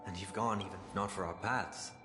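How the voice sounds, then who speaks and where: An actor playing a refined, earnest fallen idealist in a video game, speaking formally and eloquently. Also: male voice, low-pitched, steady tone, brisk, reverberant, big-room sound, over music, lightly acted. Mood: earnest, reflective. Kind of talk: dramatic video game dialogue.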